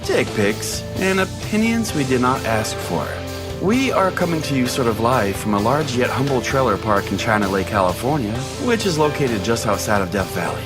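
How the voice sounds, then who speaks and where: A man's voice: mid-range voice, lightly acted. A man playing an eccentric pastor who hosts a late-night call-in radio show in a theatrical, mock-solemn way. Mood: showy, mock-earnest. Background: music.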